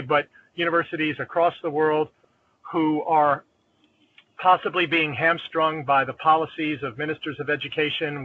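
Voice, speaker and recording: A man with an East Coast US accent, a dean of education, giving fluent, measured, opinionated remarks on a conference call. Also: on the high side. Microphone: phone or conference line. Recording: tinny phone line with faint noise.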